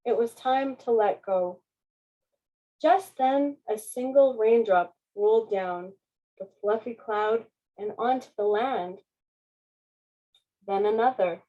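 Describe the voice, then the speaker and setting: A woman's voice: on the high side, slow, long pauses, highs cut off. A woman, a children's author, speaking at a public reading of a picture book. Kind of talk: slow storybook narration.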